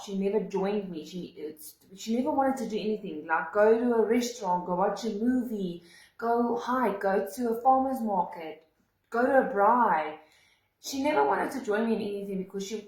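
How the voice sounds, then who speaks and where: A woman's voice: medium pitch, reverberant, poor audio. A young South African woman whose first language is Afrikaans tells a personal story to camera with earnest emotion, often restarting her sentences. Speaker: vlogger.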